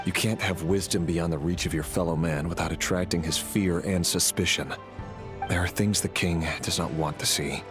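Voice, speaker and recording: Man acting a grim, low-voiced knight. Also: lightly acted. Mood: stern, guarded. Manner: measured, formal, dryly menacing. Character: grim, sardonic male knight turned palace conspirator. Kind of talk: video game voice acting. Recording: game dialogue with music behind.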